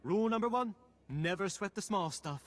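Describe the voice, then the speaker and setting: Swooping, smug alien wheeler-dealer. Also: male voice, high voice, animated, normal speed. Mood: slick bargaining. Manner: smooth-talking, haggling, flippant. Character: smug, unflappable crooked male alien boss, haggling and vain. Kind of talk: video game cutscene acting.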